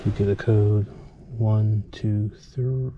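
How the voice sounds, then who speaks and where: An American man in his thirties talking casually and haltingly as he vlogs his work as a technician. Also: lowish voice, fairly even intonation, dull audio. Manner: laid-back, halting, thinking aloud. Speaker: HVAC technician and vlogger. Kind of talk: casual work vlog narration. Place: attic beside a furnace, later inside a parked work van. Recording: noisy, with loud equipment or vehicle hum.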